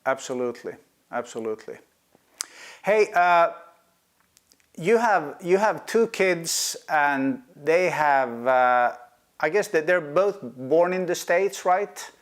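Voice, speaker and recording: A man's voice: fairly high pitch, expressive, takes it slowly. A man hosting an interview in a casual, friendly chat, often repeating words as he finds them. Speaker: host.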